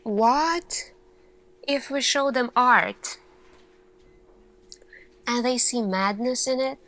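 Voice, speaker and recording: Very slow, bright-voiced woman in ASMR roleplay. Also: on the high side. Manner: slow, deliberate, pausing, questioning delivery. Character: earnest, thoughtful female lead scientist addressing her team.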